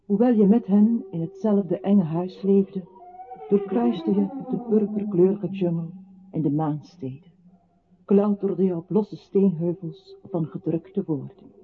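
A man's voice: sits high, a little slow. An actor playing an accusing voice that solemnly tells a captive his own life in a poetic radio play. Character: accusing male voice narrating a captive's life to him. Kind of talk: radio play, poetic second-person narration. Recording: tinny, narrow old radio recording with hiss.

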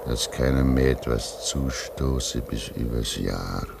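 Deep, slow-spoken old polar station keeper, pious and solemn. The old radio play recording carries a noticeable hiss. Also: male voice, gravelly, heavily acted. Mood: grieving. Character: old Russian man at remote Arctic station, devout. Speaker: radio drama actor. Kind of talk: 1950s German radio drama acting.

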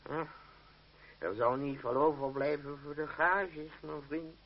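Blustering, slow theatre troupe leader. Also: male voice, fairly high pitch. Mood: grumbling. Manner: theatrical, hesitant muttering and spluttering. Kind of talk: radio drama acting. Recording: dull, muffled old radio play recording.

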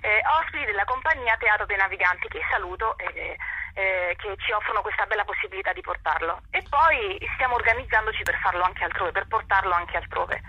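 A woman's voice: mid-range voice, a little fast, breathy edge, lo-fi sound. A woman in a conversational interview, explaining as an actor and often breaking into chuckles. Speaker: actor interviewed about a stage show.

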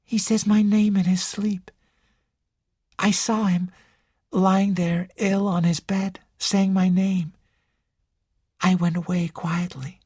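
A woman's voice: on the high side, a little slow, long pauses, no room sound, clean studio sound, pitched above the performer's own voice. A woman narrator voicing an intense, fearful and proud young woman in an audiobook. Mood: soft, dreamy. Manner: intense, indignant, secretive. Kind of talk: audiobook character dialogue.

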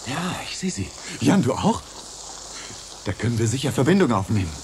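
Long-suffering colony man, lively delivery. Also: mid-range voice, moderate pace, a little hiss or hum. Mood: urgent. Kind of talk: radio play acting.

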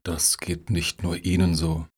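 An actor playing a sardonic, suspicious rival applicant in a satirical radio play, muttering dry asides. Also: male voice, low voice, flat delivery, unhurried, husky.

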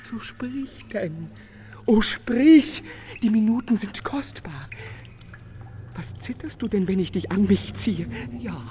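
An actor playing a passionate, tormented young painter in a radio drama, with declamatory, emotional, literary delivery. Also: male voice, sits high, animated, slow pace, dark tone, a little hiss or hum. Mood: ardent, pleading. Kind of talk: German radio drama acting.

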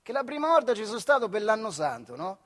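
Nostalgic factory worker, solo stage storyteller, high voice, central Italian Marche accent, wry and colloquial. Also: male voice, sing-song, fast, fairly bright tone, exaggerated voice. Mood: animated storytelling. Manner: colloquial, acting out dialogue. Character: working-class factory man telling nostalgic, wry stories of his comrade. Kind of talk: theatrical monologue storytelling in dialect.

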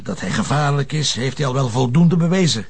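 A harried, stubborn Flemish police commissioner in a TV crime drama. His voice is very husky and crackles with fry, and his pitch moves around animatedly as he talks. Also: expressive, fairly quick. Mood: pressured, defensive. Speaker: actor. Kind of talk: naturalistic TV police drama acting.

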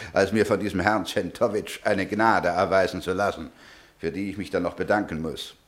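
A man's voice: lowish voice, close to an everyday voice. Blustering, proud tycoon with swooping delivery, acted by a man. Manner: blustering, emphatic, self-important. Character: massive, proud, hot-tempered self-made millionaire engineer. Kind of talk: German radio play acting.

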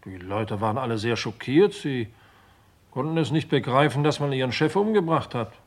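A dutiful, plodding detective, with an average-pitched voice. He is matter-of-fact and a bit slow to catch on, in old German radio drama style. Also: expressive. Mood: dutiful reporting. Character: plodding, slightly dim male police detective subordinate. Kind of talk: 1950s-80s German radio crime drama acting.

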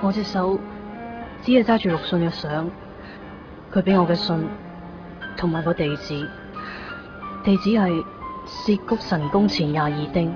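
A woman's voice: lowish voice, unhurried, pauses often, music in the background, close to an everyday voice. An actress playing a melancholy young woman in a wistful Cantonese radio drama monologue. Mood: sad, resolute. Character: melancholy young woman quietly leaving her love behind. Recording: dull lo-fi 1990s radio.

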